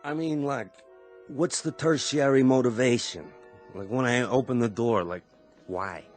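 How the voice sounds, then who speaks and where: An actor playing a dim, self-serious young heartthrob method actor in a radio comedy, earnest, hesitant and slangy. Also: male voice, mid-range voice, a little slow, faint music behind, natural voice. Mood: earnestly puzzled. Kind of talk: comedic radio drama acting.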